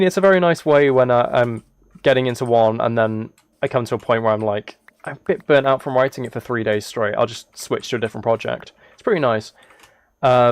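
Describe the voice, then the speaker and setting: A man chatting casually as a live game streamer, musing aloud in a relaxed, low-key way. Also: smooth, no room sound. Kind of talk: casual chat over Minecraft gameplay.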